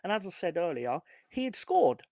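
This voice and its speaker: A hoarse man ranting on a casual football fan podcast, swearing and rambling with many restarts. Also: high voice, big pitch swings, normal speed, darkish tone, no echo, studio quality. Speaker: podcast co-host.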